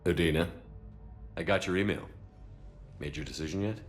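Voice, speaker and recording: A man playing a steady, supportive military commander in a video game, direct and clipped in his phrasing. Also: low-pitched, normal speed, soft background music, natural voice.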